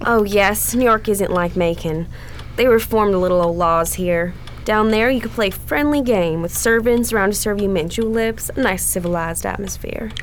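A woman's voice: mid-range voice, moderate pace, slight background noise, close to an everyday voice. An actress playing a frightened, sugary Southern married woman in a radio drama, with a folksy, hesitant way of talking. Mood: wistful, sweet. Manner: fluttery, folksy, hesitant stammer. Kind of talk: old-time radio drama acting.

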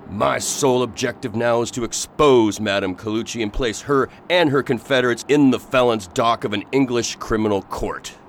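An actor playing an earnest, agitated Victorian gentleman in a radio drama, pleading and intense. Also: medium pitch, normal speed, hardly any pauses, a little hiss or hum, close to an everyday voice.

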